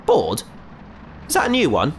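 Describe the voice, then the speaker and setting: Lively, gentle spaceship scientist. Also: female voice, low-pitched, moderate pace, slight background noise, full character voice. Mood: wary, chiding. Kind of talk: sci-fi audio drama acting.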